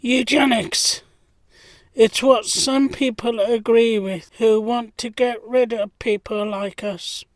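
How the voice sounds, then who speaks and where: A woman playing a plain-spoken, kindly young man in a 1919 audio drama, earnest and measured. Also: fairly high pitch, fairly even intonation, no room sound.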